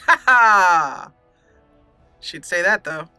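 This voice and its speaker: A woman's voice: fairly low pitch, lively intonation, extremely slow, bright, forceful tone, clean tone, no room sound, faint music behind. A woman giving chatty, giggly let's play roleplay commentary, slipping into reading lines in character. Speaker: gaming channel host.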